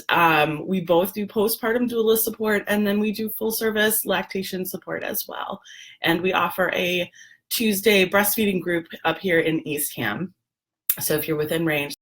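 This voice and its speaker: A woman, hoarse and gravelly, chatting casually on a live video with lots of ums and throat clearing. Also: lowish voice, fairly bright tone. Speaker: doula and lactation educator, livestream host.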